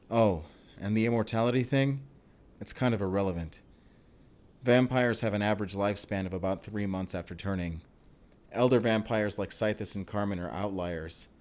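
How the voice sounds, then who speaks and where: A man acting as a Spanish vampire slayer in a romantic audio drama. His voice sits fairly low and carries a Spanish accent. He speaks closely and earnestly, like a tormented, tender hero talking to his lover. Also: lightly acted.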